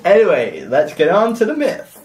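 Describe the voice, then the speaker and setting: A young man with a soft Yorkshire accent, co-hosting a casual podcast and joking and laughing often. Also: sits high, huge pitch swings, moderate pace, echoey room. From Yorkshire, England. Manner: giggly, joking, puts on silly voices. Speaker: podcast co-host. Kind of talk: casual comedic chat.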